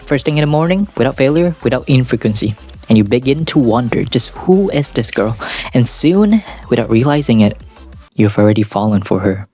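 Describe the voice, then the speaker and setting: A man's voice: mid-range voice, expressive, a little fast, mellow. A man giving casual, semi-scripted anime commentary in a rambling, self-deprecating, crudely joking way. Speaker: anime video essayist. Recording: music behind the voice. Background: background music.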